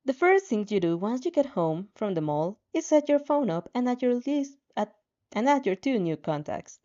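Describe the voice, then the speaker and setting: Expressive woman reading aloud, dull lo-fi sound. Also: a little high, moderate pace. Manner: animated, playful asides, stumbles over words. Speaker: fan fiction reader reacting as she reads. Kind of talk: expressive story narration with casual reactions.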